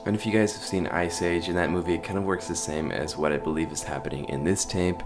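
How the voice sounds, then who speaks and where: Low-voiced man narrating horror commentary over music. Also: fairly even intonation, fast. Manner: addresses viewers informally. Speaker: host of an analog horror explainer video. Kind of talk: scripted commentary with casual asides. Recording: music behind the voice.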